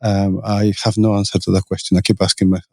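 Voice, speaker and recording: A man's voice: fairly low pitch, level tone, fast talker, a little mellow, dry recording. A man from Spain with a Spanish accent, an agile coach and conference organizer talking as a podcast guest, thoughtful and full of restarts. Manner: thoughtful, many restarts and fillers. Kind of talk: conversational interview. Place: conference venue.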